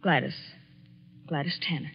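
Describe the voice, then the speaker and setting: A despairing, world-weary young American in a 1940s radio mystery. Her voice is husky and terse, with guarded bitterness. It sounds dull and muffled, like an old broadcast record. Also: deep voice, normal speed, heavily acted. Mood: wounded.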